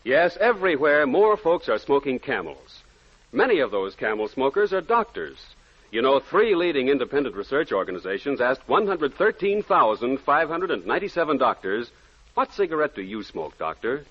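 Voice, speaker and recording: 1940s ad man, emphatic and lively intonation, dull old broadcast sound. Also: medium pitch, normal speed. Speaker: radio commercial announcer. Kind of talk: scripted 1940s radio advertising. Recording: muffled 1940s radio broadcast.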